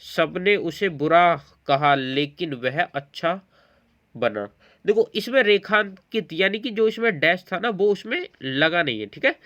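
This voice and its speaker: A man's voice: sits high, expressive, a little slow, fairly bright tone. A man teaching an exam-prep lesson, explaining quiz questions and often checking in with learners. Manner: explanatory, repeatedly checking in with listeners.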